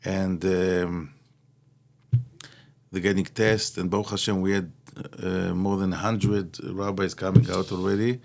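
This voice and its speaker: Gravelly low-voiced middle-aged rabbi. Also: male voice, flat delivery, unhurried, darkish tone. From Israel. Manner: hesitant, many fillers, mixes in Hebrew terms. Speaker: rabbi, guest speaker. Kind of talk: Torah talk in conversation.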